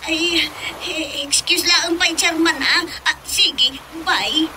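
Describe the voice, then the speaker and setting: An actress playing a coy, giggly, dramatic second wife in a comic radio drama, full of exclamations. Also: female voice, very high-pitched, fairly even intonation, slow, bright, forceful tone, a little hiss or hum, heavily acted, low-quality recording.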